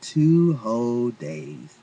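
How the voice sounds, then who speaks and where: A man voicing a loving, sincere young father in an inspirational story, husky and emphatic in his phrasing. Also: mid-range voice, sing-song, drawn-out words, mellow, dull audio, slight background noise, exaggerated voice. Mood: joyful.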